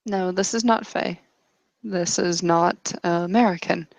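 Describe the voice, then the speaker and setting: A woman improvising narration and character dialogue in a tabletop roleplaying session, speaking deliberately in short, broken phrases. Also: fairly low pitch, steady tone, gravelly, no echo, rough recording.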